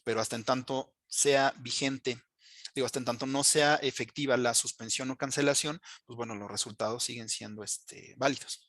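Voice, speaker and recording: A man giving a technical training presentation as an instructor, speaking haltingly with frequent fillers and check-in tags. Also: mid-range voice, fairly even intonation, fairly bright tone, no echo.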